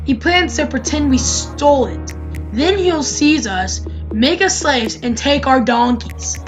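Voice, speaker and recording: A woman's voice: high voice, expressive, unhurried, pitched above the performer's own voice. A woman voicing earnest, pleading grown brothers in a Bible story, with insistent, emphatic delivery. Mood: fearful. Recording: music behind the voice.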